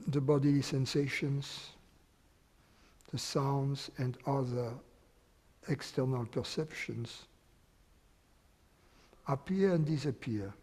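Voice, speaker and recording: A man leading a guided meditation, speaking slowly with long pauses between phrases. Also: mid-range voice, darkish tone, in a large hall. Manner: slow, unhurried, with long pauses. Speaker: meditation teacher.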